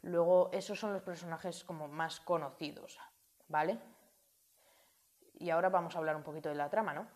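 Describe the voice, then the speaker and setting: Casting: woman, chatty vlogger, lower voice, lively asides. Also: moderate pace, long pauses. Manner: chatty, lively, many fillers and restarts, asides to viewers. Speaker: film reviewer. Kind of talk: casual film review vlog.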